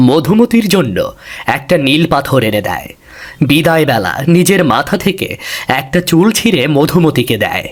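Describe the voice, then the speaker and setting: Husky male fairy-tale narrator. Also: a little high, sing-song, moderate pace.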